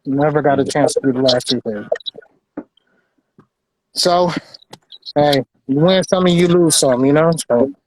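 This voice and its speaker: American male collector, fairly high-pitched, chatty and informal, doubles back on phrases. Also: unhurried, pauses often, darkish tone, a little hiss or hum, rough recording. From Los Angeles, United States. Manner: repeats phrases, informal slang. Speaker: sneaker collector and designer presenting his pairs. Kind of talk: casual show-and-tell chat.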